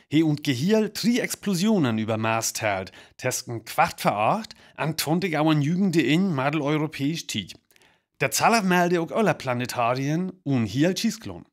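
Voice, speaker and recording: An actor portrays a man reading news bulletins in a radio play. His voice is somewhat high, with a North Frisian accent. The delivery is serious and formal, as read from a script. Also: expressive, normal speed, close to an everyday voice. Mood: serious, reporting.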